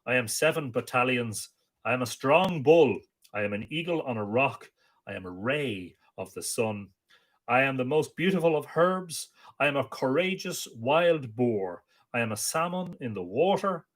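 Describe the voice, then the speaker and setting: A man's voice: mid-range voice, lively intonation, normal speed, voice pushed up, lightly acted. A man reciting the boastful chant of an ancient male druid poet, in a declaiming, incantatory way.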